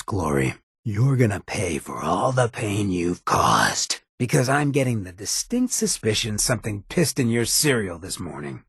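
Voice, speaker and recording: Game companion: roguish ghoul boss, rough shredded rasp, slightly low, slangy sardonic swagger. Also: male voice, dry recording, studio quality, full character voice. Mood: menacing. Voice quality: heavily raspy, gravelly. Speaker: video game voice actor. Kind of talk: game companion dialogue lines.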